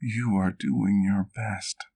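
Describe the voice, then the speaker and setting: A man playing a gentle, protective vampire doctor in an ASMR roleplay, speaking in a soft, measured, careful way. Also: on the low side, fairly even intonation, vocal fry, full character voice. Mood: reassuring.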